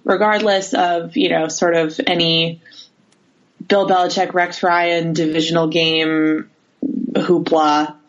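A female sports reporter with a fairly low voice, heard over a slightly muffled, lo-fi connection. She gives casual analysis as a guest, pausing and restarting as she thinks her way through each point. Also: flat delivery.

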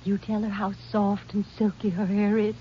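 An actress playing a wronged wife, bitter, cutting and sarcastic in a 1950s radio drama. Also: female voice, mid-range voice, level tone, moderate pace, lightly acted. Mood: bitter taunting. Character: wronged, bitter wife coolly taunting her husband. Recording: dull, hissy old 1950s radio recording.

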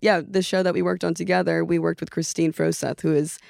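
A young adult woman from Norway, a native Norwegian speaker, chatting as a podcast guest in a relaxed, meandering way with frequent fillers. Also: on the low side, fairly quick, gravelly, no room sound, clean studio sound. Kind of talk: casual chat.

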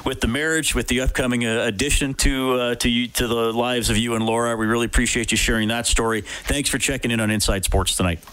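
A man's voice: mid-range voice, fairly even intonation, fast, few pauses, a bit bright, clear, resonant tone, low-quality recording. A man hosting a sports radio show, putting questions to guests and presenting segments.

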